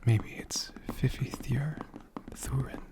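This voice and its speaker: Man whispering as a slow, gentle ancient dragon. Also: mid-range voice, fairly even intonation, lightly acted. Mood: soft, soothing. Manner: slow, lingering, repeats words softly. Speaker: ASMR host answering viewer questions. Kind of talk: soft-spoken whispered ASMR. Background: computer keyboard typing.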